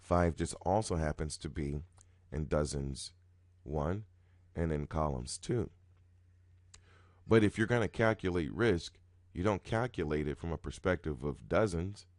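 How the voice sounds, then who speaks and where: Deep-voiced male host, groggy morning voice, rambling with restarts, dry close sound. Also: measured pace, long pauses, no room sound. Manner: rambling, repetitive, many restarts, sudden jumps in pitch and loudness. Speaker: roulette strategy video host. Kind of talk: casual talking-head explanation.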